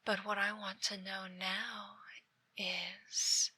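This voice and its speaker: A woman playing an obsessive, menacing yandere ex-girlfriend in an ASMR roleplay, breathy and often whispering, with a deliberate, taunting, theatrical delivery. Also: mid-range voice, level tone, bright, forceful tone, no echo, full character voice. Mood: sinister, threatening. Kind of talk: ASMR roleplay, dramatic monologue. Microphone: close dry microphone.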